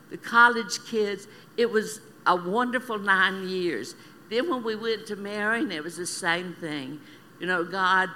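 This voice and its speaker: Slow, bright-voiced older woman laughing through a testimony. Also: mid-range voice, a little hiss or hum. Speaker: missionary speaker. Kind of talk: church testimony. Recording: reverberant hall with faint ambience.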